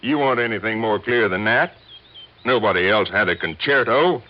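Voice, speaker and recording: An actor playing a stubborn, plain-spoken police chief in an old radio drama, folksy and matter-of-fact. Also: male voice, lowish voice, moderate pace, close to an everyday voice. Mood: stubborn, certain. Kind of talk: 1950s radio drama acting. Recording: dull old radio recording.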